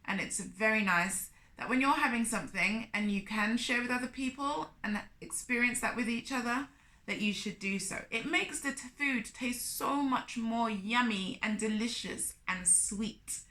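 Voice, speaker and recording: A woman's voice: fairly high pitch, normal speed, bright, forceful tone. A woman telling a story to young children expressively, with exclamations and questions to the listener. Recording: echoey room.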